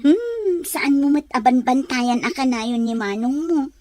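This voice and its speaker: A woman's voice: high voice, lively intonation, unhurried, mellow, no room sound. A woman playing a doubtful young woman who questions her friend in a musing way in an audio drama.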